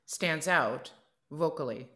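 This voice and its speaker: A woman's voice: low-pitched, measured pace, bright, forceful tone. A woman co-hosting a casual interview over a video call, chatty and full of anecdotes. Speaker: podcast co-host, opera singer.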